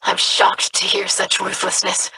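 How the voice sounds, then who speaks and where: An actress voicing a poised, sharp-tongued female noble ally in a video game, with dry, formal wit. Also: mid-range voice, monotone, measured pace, slight background noise. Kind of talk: video game character dialogue.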